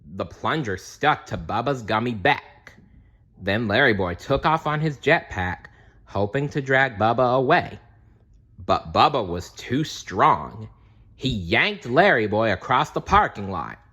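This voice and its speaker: A man reading a children's storybook aloud as scripted narration, dramatic and expressive. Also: mid-range voice, unhurried, slightly rough. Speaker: storybook reader.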